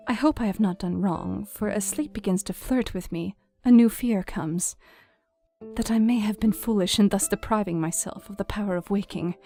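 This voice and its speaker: An actress playing a gentle, weary, anxious young Victorian wife, confiding a diary in an audio drama. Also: female voice, mid-range voice, natural voice. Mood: drowsy, uneasy.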